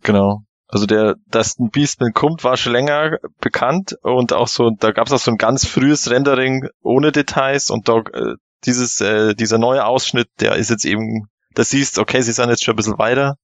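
Creaky-voiced man chatting on a podcast. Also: medium pitch, moderate pace, hardly any pauses, no echo. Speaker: podcast panelist. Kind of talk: casual fan podcast chat.

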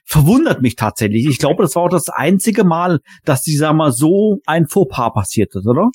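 Husky male podcast host. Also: fairly high pitch, sing-song, moderate pace, a little mellow, no echo, studio quality. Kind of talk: casual moderated chat.

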